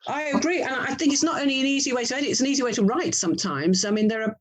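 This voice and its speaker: A woman's voice: sits high, very fast. A middle-aged English woman talking conversationally as an interviewed author, reflective and often correcting herself as she meanders through her thoughts. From England.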